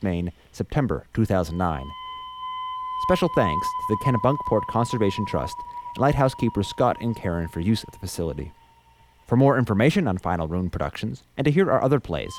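A man's voice: fairly low pitch, noisy background. A man who hosts an audio drama podcast, presenting credits and announcements to listeners. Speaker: host and audio drama producer.

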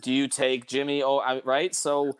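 A man's voice: mid-range voice, completely flat delivery, moderate pace, clear, resonant tone. A man hosting a casual sports debate, thinking aloud in questions. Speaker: sports podcast host.

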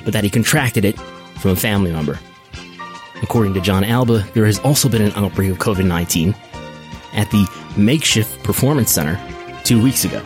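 An American man hosting a podcast, reading through news in a deliberate, announcer-like way. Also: lowish voice. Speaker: podcast host.

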